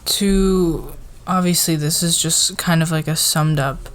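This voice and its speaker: Casting: actress as supportive woman boss for ASMR roleplay, lowish voice, very slow pace, soft halting meeting talk. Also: steady tone, slight background noise. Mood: professional, casual. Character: friendly, encouraging female boss leading a team meeting.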